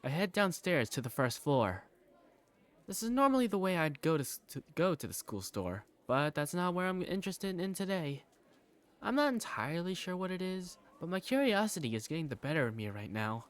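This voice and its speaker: A man voicing a curious, self-doubting high school student who narrates a visual novel fandub in the first person. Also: very animated, fairly quick. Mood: curious, uneasy.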